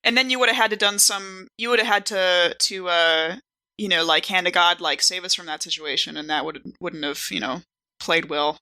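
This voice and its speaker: A woman podcast cast member in a casual group chat, animated and giggly, peppering her talk with filler words like "like". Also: on the low side, normal speed, rough recording. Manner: animated, giggly, uses like often.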